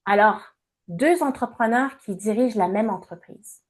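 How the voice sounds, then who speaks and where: A woman in her fifties from Montreal with a Québécois accent, answering interview questions in a measured way with many hesitations. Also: on the high side, expressive. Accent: Québécois French. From Montreal, Canada. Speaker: guest, entrepreneur and coach.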